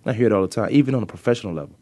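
A man with a Virginia accent hosting a casual sports talk show, arguing his points emphatically and repeating himself when excited. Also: fairly low pitch, rapid-fire, no echo.

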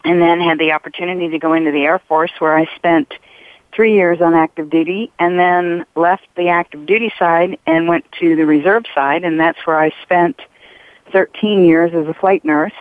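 Woman, sixties, from the US East Coast, retired nurse guest, steady and orderly, phone-line sound. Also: lowish voice, moderate pace, dry recording. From East Coast of the United States. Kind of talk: phone-in interview, recounting her career. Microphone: telephone.

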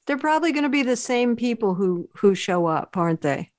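A woman's voice: fairly high pitch, animated, brisk. A woman hosting an interview who asks thoughtful questions and often ends them with tag questions. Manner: warm, probing, tag questions. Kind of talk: conversational interview.

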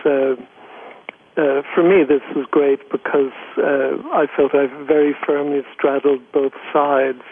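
A man, a guest giving thoughtful, halting answers in an interview. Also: mid-range voice, flat delivery, slight background noise. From Northern Ireland. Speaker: guest, economist. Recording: tinny phone line.

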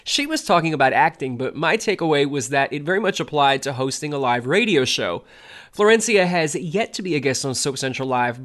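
Man, host, scripted narration, measured and reflective, slightly high voice. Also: fairly bright tone. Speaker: radio show host.

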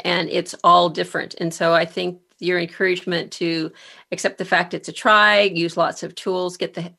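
Creaky low-voiced woman hosting an interview. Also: bright, forceful tone. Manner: encouraging, appreciative. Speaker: podcast host and career author.

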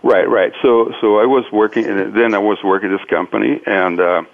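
A man from Brazil, a native Portuguese speaker with a Brazilian accent, giving an interview as a businessman in a halting, hesitant way. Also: lowish voice, fairly even intonation, gravelly. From Minas Gerais, Brazil. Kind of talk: interview conversation, recounting own career. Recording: tinny phone-like line.

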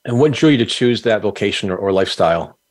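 A man's voice: lowish voice, expressive, fast. A man hosting an interview podcast, reading a formal guest introduction and asking questions steadily. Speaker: podcast host.

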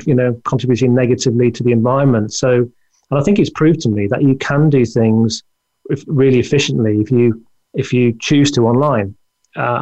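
A man from England hosting an interview show on a video call, speaking in a halting way with many restarts. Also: medium pitch, mellow, muffled recording. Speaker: podcast host. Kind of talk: casual interview chat.

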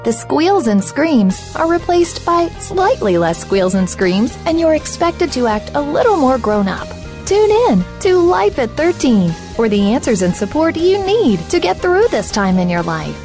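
Bright, lively woman announcer over music. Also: high-pitched, unhurried. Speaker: radio announcer. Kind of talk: scripted promo announcing. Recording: music bed under the voice.

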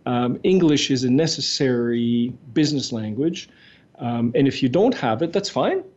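A man's voice: mid-range voice, expressive, moderate pace, a little mellow. A man in his sixties from Montreal, a native French speaker, fluently answering interview questions as the guest. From Montreal, Quebec. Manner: fluent, articulate storytelling. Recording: dull lo-fi sound.

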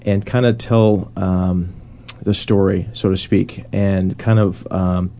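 A man's voice: low voice, steady tone, slow, warm tone. A man on a discussion panel, explaining casually and loosely, restarting phrases as he goes. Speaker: panelist on a Bible study discussion.

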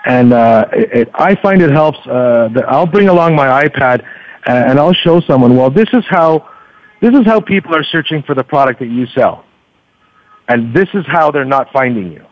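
Male interview guest, mid-pitched, conversational and halting, doubles back on words, dry lo-fi radio sound. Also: expressive. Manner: halting, repeats words as thoughts form. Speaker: podcaster guest on a radio show. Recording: slightly dull, lo-fi radio sound.

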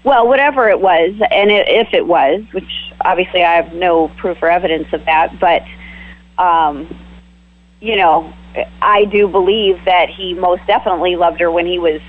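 A man's voice: sits high, normal speed, no echo. A man on a talk radio show, chatting in a gossipy way, hedging his claims and trailing off. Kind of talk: casual talk radio discussion. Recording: phone line on radio.

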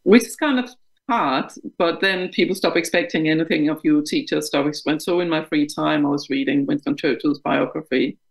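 A woman's voice: low voice, moderate pace, creaky, poor audio. A woman in her fifties from Denmark with a Danish accent, speaking frankly and reflectively as an interview guest, laughing at herself. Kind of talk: candid interview conversation.

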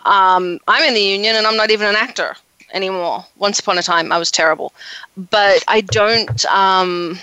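A bright, forceful woman hosts a casual radio interview. She is outspoken and emphatic but conversational, with a mid-range pitch and a close, dry studio-like sound. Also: steady tone, normal speed, clear, resonant tone, no room sound, muffled recording. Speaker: radio show host.

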